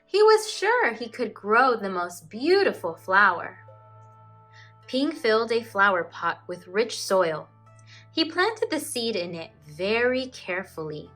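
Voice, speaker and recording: A woman teacher presenting and reading a children's storybook aloud in an expressive, emphatic storytelling manner. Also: medium pitch, a little slow, faint music behind.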